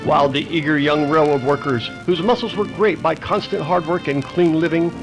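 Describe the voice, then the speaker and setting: A man's voice: on the high side, normal speed, over loud music, poor audio. A man delivering dramatic scripted narration in the manner of a 1930s radio adventure.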